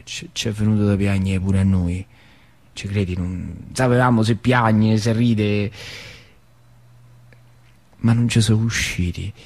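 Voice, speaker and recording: An actor playing a plain-spoken factory worker who reminisces in a theatrical monologue, with a rural central Italian accent and colloquial, repetitive phrasing. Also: male voice, on the low side, darkish tone. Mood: moved, nostalgic. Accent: central Italian, rural Lazio. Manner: colloquial, repetitive, dwelling on words. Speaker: stage actor in a solo narrative play.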